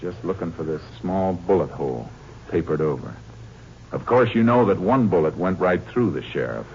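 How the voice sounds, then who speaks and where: An actor playing a tough, unflappable Texas Ranger in a 1950s radio detective drama, with a dry, laconic, quietly menacing manner. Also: male voice, low voice, animated, normal speed, a little hiss or hum. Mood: coolly menacing. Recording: dull lo-fi old radio recording.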